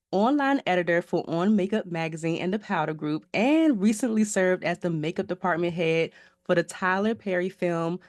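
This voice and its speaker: A woman hosting a beauty podcast, lively and chatty and quick to laugh. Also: lowish voice, no echo. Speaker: podcast host. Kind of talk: casual chat.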